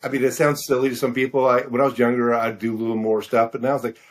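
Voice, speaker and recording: An older American man from Missouri chatting casually as an interview guest, halting and often correcting himself as he rambles. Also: medium pitch, level tone. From Missouri, United States. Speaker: guest, fiction author and physician.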